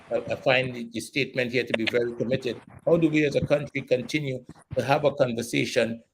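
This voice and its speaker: Gravelly man hosting a sports discussion. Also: mid-range voice, a little hiss or hum, low-quality recording. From Trinidad and Tobago. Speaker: sports show host. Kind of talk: discussion and interviewing.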